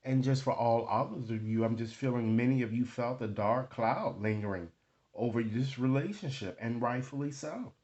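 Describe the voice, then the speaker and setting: A man reads tarot cards aloud for his viewers in a mid-pitched voice, caught in a slightly dull, lo-fi recording. He improvises as he goes, halting between phrases and tacking on little questions to check that listeners follow. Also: a little mellow. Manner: halting delivery with frequent tag questions. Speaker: tarot card reader, online host.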